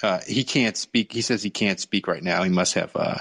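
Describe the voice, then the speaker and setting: A man's voice: deep voice, normal speed, gravelly, fairly bright tone, husky. A man hosting a casual sports fan chat, often repeating phrases as he gets going. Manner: stammering, repeats phrases as he starts. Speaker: sports talk show host.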